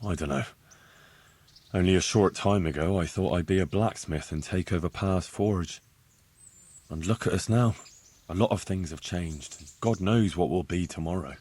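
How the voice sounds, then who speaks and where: An actor playing an easygoing, loyal young blacksmith's son in a medieval video game, casual, wry and sincere. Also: male voice, deep voice, normal speed, darkish tone, husky. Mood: sincere, reassuring. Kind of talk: naturalistic video game dialogue acting.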